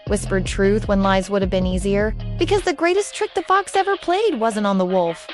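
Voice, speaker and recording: A woman's voice: mid-range voice, expressive, moderate pace, faint music behind. A woman reading a scripted storybook tale as a narrator.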